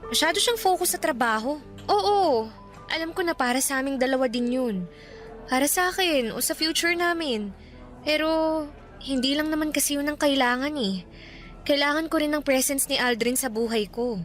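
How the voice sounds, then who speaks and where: High-voiced young fiancée, gentle, torn. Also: female voice, normal speed, long pauses. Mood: troubled, hesitant. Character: young engaged woman, gentle, hesitant and torn. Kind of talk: Tagalog radio drama acting. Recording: music bed behind studio dialogue.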